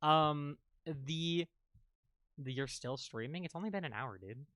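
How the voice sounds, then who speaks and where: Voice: mid-range voice, a little slow, fairly bright tone, no echo, studio quality. A streamer and voice actor chatting casually and rambling with lots of fillers, a little congested. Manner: rambling, filler-heavy, self-interrupting. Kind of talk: casual let's-read commentary.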